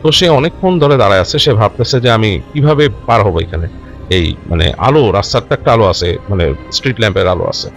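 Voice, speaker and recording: A man with a Bangladeshi accent tells a ghost story off the cuff in a conversational, halting way, repeating himself and mixing in English words. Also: fairly low pitch, very animated, fairly bright tone. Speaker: horror storyteller. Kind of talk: unscripted spoken ghost-story narration. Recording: music under the voice. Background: background music.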